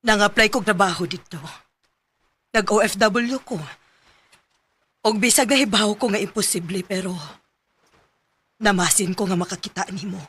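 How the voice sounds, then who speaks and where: A woman's voice: mid-range voice, normal speed, pauses often, a bit bright, husky. A Filipino woman with a Cebuano accent acting a tender young sweetheart who has come home, in a Bisaya radio drama. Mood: playful, fond.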